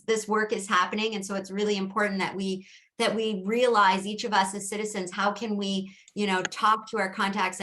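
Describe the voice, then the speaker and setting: A woman's voice: mid-range voice, pushed and bright. A middle-aged woman with a Canadian accent answering as a council candidate at a debate, earnest, with many fillers and restarts.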